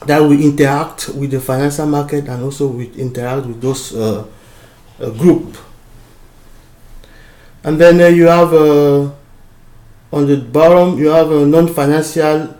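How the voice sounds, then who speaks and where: Halting man lecturing from Cameroon. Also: a little high, warm tone, reverberant. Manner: halting, many fillers and restarts. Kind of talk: informal lecture over a diagram.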